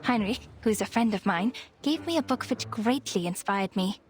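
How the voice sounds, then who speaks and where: An actress playing a proud, eloquent psychiatrist with a sardonic, theatrical way of talking in a video game. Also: female voice, medium pitch, lively intonation, normal speed, lightly acted. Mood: flattered, musing. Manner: eloquent, grandly rhetorical, sarcastic.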